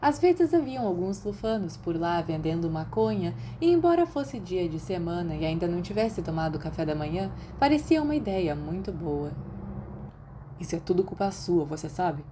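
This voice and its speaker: A woman's voice: lowish voice, very animated, moderate pace, few pauses, noisy background. A Brazilian woman reading fiction aloud as scripted audiobook narration. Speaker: podfic narrator.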